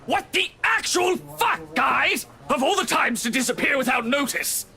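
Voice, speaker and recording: A man's voice: very high voice, expressive, a little slow, vocal fry, bright, forceful tone, shouting, faint music behind, full character voice. An actor playing a furious, foul-mouthed male office boss in a visual novel game.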